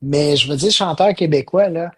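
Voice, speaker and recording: Middle-aged male podcast guest, Quebec French accent, slightly high voice, casual, thinks as he talks. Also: normal speed, crowd noise. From South Shore of Montreal, Quebec. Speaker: podcast guest, business networking company president. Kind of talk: casual interview chat.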